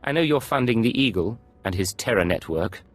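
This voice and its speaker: An actor playing a blunt, confident, defiant imperial agent in a video game, with a dry manner. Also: male voice, on the low side, expressive, brisk. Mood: cool, defiant. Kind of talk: dramatic video game acting. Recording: music behind the voice.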